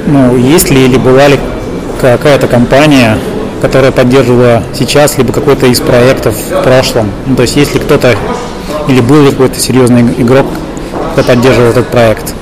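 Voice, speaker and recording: A man interviewing informally, halting and rephrasing his questions as he goes. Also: mid-range voice, little pitch movement, rough recording. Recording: clear crowd noise behind. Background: conference crowd.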